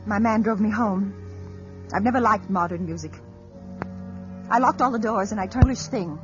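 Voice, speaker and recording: A woman's voice: mid-range voice, moderate pace, close to an everyday voice. An actress playing a tense, brittle woman tormented by noise, speaking precisely and dramatically in 1940s radio drama style. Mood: haunted, controlled. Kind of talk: 1940s radio drama acting, first-person narration. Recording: muffled old radio recording, loud music.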